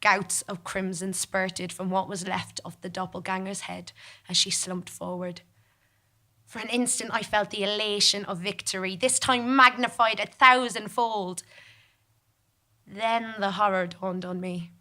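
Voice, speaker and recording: Bright-voiced woman delivering a dramatic monologue. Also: medium pitch, steady tone. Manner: formal, deliberate, theatrical storytelling. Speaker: monologue performer. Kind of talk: dramatic first-person scripted narration. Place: theatre stage.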